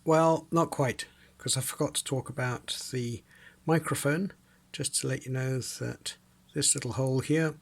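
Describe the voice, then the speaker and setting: Husky, creaky-voiced man giving instructions. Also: medium pitch. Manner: plain, methodical step-by-step explaining. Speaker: sailing club radio instructor. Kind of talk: informal instructional demonstration.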